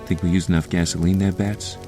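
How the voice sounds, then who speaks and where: An actor playing a brash, sardonic district attorney with a gravelly, husky voice in an audio drama. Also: male voice, low-pitched, little pitch movement, brisk, close to an everyday voice. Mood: cocky, conspiratorial. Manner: sardonic, cocky, informal. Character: brash, sardonic male district attorney, vengeful yet tender husband. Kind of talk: fan audio drama acting. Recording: music under the voice.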